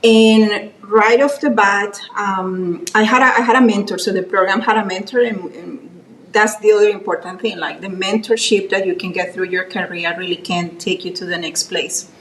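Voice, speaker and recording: A middle-aged woman from Colombia who learned English as an adult, speaking with a Colombian Spanish accent as an interview guest. She tells stories warmly and often restarts her sentences. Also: mid-range voice, fairly even intonation, moderate pace. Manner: warm storytelling with frequent restarts. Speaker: guest, quality executive. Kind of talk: conversational interview. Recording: roomy echo, faint background noise.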